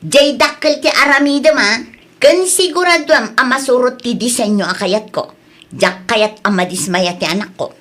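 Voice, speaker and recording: Lady acting a bossy spendthrift ilocano mom, insistent, highish voice, audio drama. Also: moderate pace, fairly bright tone. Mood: demanding. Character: insistent, spendthrift young mother planning a party.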